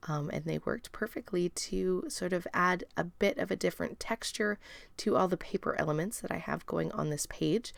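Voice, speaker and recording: A woman with a raspy, strained voice narrating her scrapbooking process in a relaxed, chatty voiceover full of ums and uhs. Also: lowish voice, normal speed, no room sound. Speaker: scrapbooking video host.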